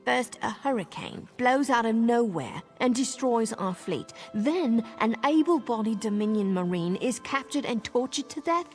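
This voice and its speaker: An actress playing a brisk, troubled female marine squad leader in a fantasy video game. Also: on the high side, lively intonation, darkish tone, faint music behind. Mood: alarmed, indignant. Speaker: military officer. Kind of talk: scripted video game dialogue.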